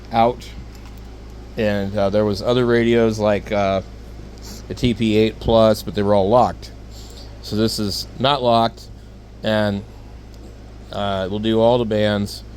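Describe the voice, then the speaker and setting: A man giving casual, rambling, unscripted product talk as a video host, with a hesitant, halting delivery. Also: lowish voice, a little hiss or hum. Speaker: hobbyist video host reviewing handheld radios.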